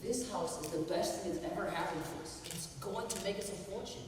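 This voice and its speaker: An actor playing a brusque, dismissive husband in a stage play. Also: male voice, high voice, fairly quick, background noise, close to an everyday voice. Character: dismissive husband, greedy and impatient. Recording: echoey hall from far off.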